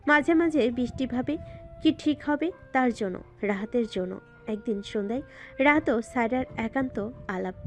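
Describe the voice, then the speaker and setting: A woman's voice: on the high side, expressive, normal speed, soft background music. A woman narrating a scripted romantic story in a dramatic style.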